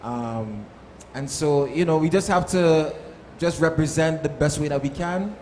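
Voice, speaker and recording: A man's voice: on the high side, unhurried, darkish tone. A man from Harlem, a soca artist, answering casually as part of a panel. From Harlem, New York. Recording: echoey room, faint background noise.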